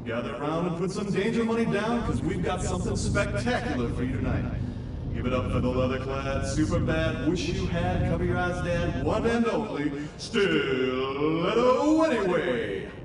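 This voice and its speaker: Man acting as flamboyant game nightclub emcee, high voice, theatrical rhyming hype, heavy echo, loud music behind. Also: animated, moderate pace, long pauses, heavy background noise, close to an everyday voice. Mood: showy, hyped. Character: flamboyant male nightclub emcee hyping a performer. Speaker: stage announcer. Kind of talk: rhyming showman introduction. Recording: very echoey venue, distant, loud music behind.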